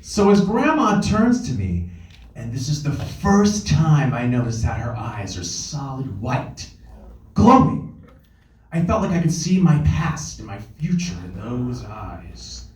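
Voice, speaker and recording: Smarmy, touchy monster in his thirties, played by a man, mean-spirited comic storyteller, very animated pitch, recorded off-mic in a roomy space. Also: slow pace. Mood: eerie awe. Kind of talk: comedy screenplay table read. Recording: roomy, distant mic at live event.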